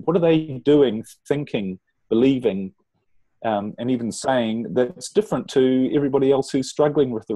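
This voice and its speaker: A middle-aged New Zealand man speaks in a warm, mellow tone, earnest and measured as he pauses and restarts his sentences. The sound is dry and close, with no room around it. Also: medium pitch, no echo, poor audio. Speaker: relationship coach. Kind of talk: interview guest telling his story.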